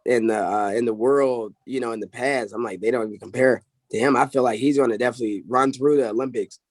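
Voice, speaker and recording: Young man, wrestling coach, easygoing, mid-pitched voice, dry close sound, webcam call. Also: steady tone, fast, no room sound. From Illinois. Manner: easygoing, repeats "I'll say" and "oh, yeah". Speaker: wrestling head coach, guest. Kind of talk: casual video call interview. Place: small indoor room. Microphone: video call webcam.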